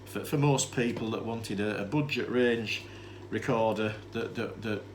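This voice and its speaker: A man's voice: fairly low pitch, measured pace. A man giving an informal, unscripted equipment demonstration, explaining things in a matter-of-fact, slightly rambling and hesitant way. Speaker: presenter demonstrating vintage tape recorders. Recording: clear steady background noise.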